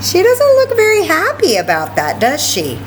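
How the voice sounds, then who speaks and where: A woman reading a story aloud to children in an expressive way, with chatty asides and questions. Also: extremely high pitch, moderate pace, slight background noise. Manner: expressive, asks listeners questions. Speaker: library story time reader.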